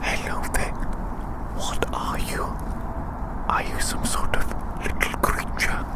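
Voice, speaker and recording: Casting: ASMR performer for whispered roleplay rambling, playful and teasing, repeating phrases softly. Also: rough recording. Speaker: ASMR video creator. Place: outdoors in winter woods.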